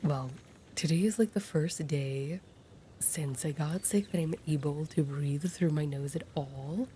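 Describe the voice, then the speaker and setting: A woman with a stuffy nose, chatting softly and casually while hosting a gameplay video. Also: deep voice, a little slow, slight background noise. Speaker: gaming video host.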